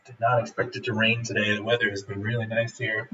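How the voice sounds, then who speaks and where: A man from Michigan, chatting casually and haltingly with lots of fillers while livestreaming his home brew day. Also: on the low side, little pitch movement, pushed and bright. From Michigan, USA. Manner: rambling, halting, many fillers, laughs often. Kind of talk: casual live-stream chat. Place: home brewing setup in Denmark, rainy day. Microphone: streaming camera and laptop. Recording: roomy, distant, dull lo-fi sound with faint ambience.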